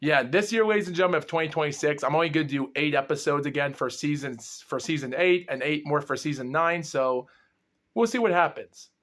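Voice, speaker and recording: A man's voice: fairly high pitch, fairly bright tone. Groggy young man rambling in a vlog. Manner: rambling, halting, run-on sentences without pauses for breath. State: groggy and under the weather. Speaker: host of a paranormal exploration series. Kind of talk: rambling solo vlog recap.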